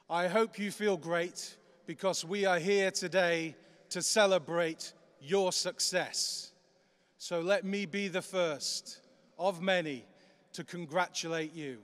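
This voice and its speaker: A man's voice: sits high, slow, a bit bright, clear, resonant tone. A middle-aged man, the vice-chancellor, giving a formal, upbeat ceremony speech at a lectern in a large cathedral. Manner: formal, upbeat, projecting to a crowd. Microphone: lectern microphone. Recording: long cathedral reverb.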